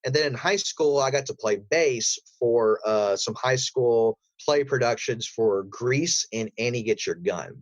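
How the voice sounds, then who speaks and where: A man's voice: medium pitch, unhurried, hardly any pauses, no echo, dull audio. A man who works as a voice actor, talking casually as a guest in an interview.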